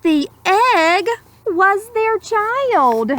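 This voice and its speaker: A woman reading a children's story aloud in an expressive, dramatic manner, with simple explanatory asides. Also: very high-pitched, very slow, no echo. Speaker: storybook reader.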